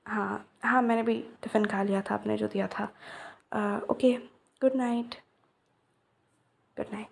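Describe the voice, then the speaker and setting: A woman voicing a cheerful, curious young woman in an ASMR roleplay. She speaks softly and gently, mixing in English words. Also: medium pitch, steady tone, measured pace, creaky, pauses often. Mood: reassuring. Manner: soft-spoken, gentle, mixes in English. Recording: roomy echo with long reverb.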